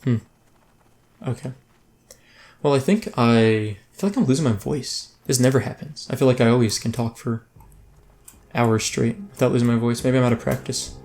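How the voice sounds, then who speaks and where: A man's voice: medium pitch, normal speed, long pauses, a little mellow. Man rambling through gameplay commentary. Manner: rambling, self-interrupting, chatty. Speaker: let's play host.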